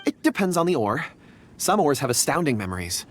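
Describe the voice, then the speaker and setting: A man's voice: on the high side, big pitch swings, a little fast, slight background noise. An actor playing a polite, modest young man in a video game, earnest and a little hesitant. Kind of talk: video game character acting.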